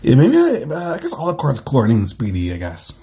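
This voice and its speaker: A comic creator with a husky voice reading their own comic aloud casually, rambling and repeating phrases as they comment. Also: medium pitch, huge pitch swings, mellow. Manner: rambling, halting, repeats phrases, acts out action with sound effects.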